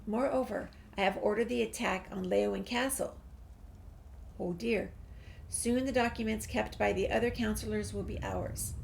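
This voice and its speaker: A woman with a creaky voice, reading a game's documents and dialogue aloud as a gameplay streamer. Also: medium pitch, fairly even intonation, moderate pace, rough recording. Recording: faint background noise, game ambience.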